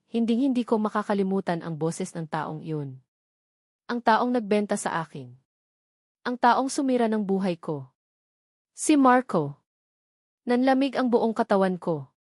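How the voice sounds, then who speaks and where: Female storyteller, medium pitch, scripted first-person romantic drama narration, dry recording. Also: darkish tone, no echo.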